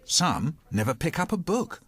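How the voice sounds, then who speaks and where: A man presenting scripted documentary narration with an expressive, dramatic storytelling delivery. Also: a little high, normal speed. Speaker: programme host.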